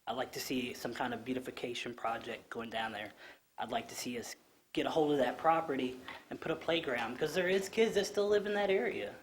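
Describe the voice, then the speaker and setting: A man in his thirties with a low voice, speaking earnestly at a podium microphone during public comment at a council meeting, with plenty of ums and restarts. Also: fairly quick, a little hiss or hum. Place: council chamber.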